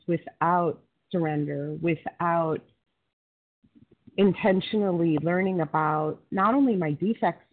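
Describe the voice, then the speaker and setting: A woman from Florida, speaking with heartfelt emphasis and building lists. Her voice comes through a narrow, phone-like line. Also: fairly low pitch. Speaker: meeting participant. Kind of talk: sharing at a phone support meeting.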